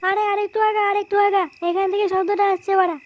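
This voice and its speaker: A man voicing a cocky, pushy gang member who curses brashly in a comedy cartoon, with an artificially pitched-up voice. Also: level tone, fast talker, over music. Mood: cocky, urging. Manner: brash, slangy cursing.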